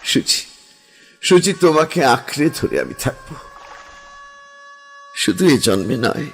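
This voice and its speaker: Young male lover, radio drama; slow, expressive, tender and urgent, a man acting. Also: medium pitch, vocal fry, exaggerated voice. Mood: pleading. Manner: impassioned, pleading, intimate. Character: young man Ambar, passionate lover reborn. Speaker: actor.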